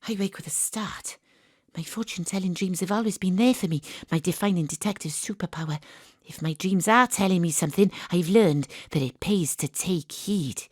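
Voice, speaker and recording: An actress playing a wry, world-weary private detective, deadpan and confiding, in noir audio drama style. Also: female voice, on the low side, moderate pace, no room sound, studio quality, natural voice. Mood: brooding. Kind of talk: noir detective narration and dialogue.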